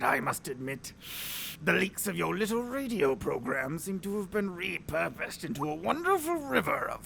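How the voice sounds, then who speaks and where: An actor playing a smug, gloating captured enemy officer with a pompous, mocking delivery in an audio drama. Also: male voice, fairly high pitch, gravelly, sharp tone, slight background noise, exaggerated voice.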